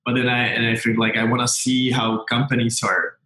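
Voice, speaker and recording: A man around forty with a Czech accent talks as a guest in a conversational interview. His voice sits at an average pitch. The recording is roomy and echoey. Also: level tone, brisk, bright, forceful tone. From Czech Republic. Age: about forty. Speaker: interview guest, tech entrepreneur.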